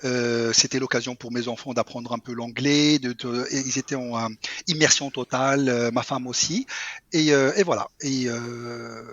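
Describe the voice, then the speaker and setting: A man's voice: mid-range voice, fairly even intonation, moderate pace, a bit bright, dry recording, muffled recording. A man in his thirties from Morocco, a podcast guest, explaining his work in a friendly way with some fillers. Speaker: guest, cloud architect. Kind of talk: casual interview.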